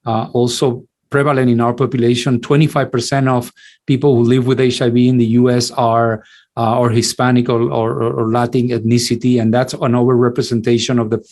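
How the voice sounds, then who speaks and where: Middle-aged man, mid-pitched, halting academic lecturer. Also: a little mellow. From Cuba. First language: Spanish. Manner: halting, many restarts and repeated words. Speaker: infectious disease physician, lecturer. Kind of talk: online lecture.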